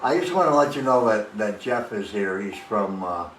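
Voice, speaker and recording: A man's voice: lowish voice, expressive, moderate pace. A man hosting in a casual, conversational style while talking with someone on the phone. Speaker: host, house caretaker making a call. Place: outdoors on a front porch. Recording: echoey, distant, faint noise.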